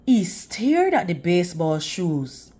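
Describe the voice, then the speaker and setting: A woman reading a storybook aloud in an expressive, teacherly way, putting questions to young listeners. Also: fairly low pitch. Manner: deliberate, teacherly, addressing children. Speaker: children's read-aloud storyteller.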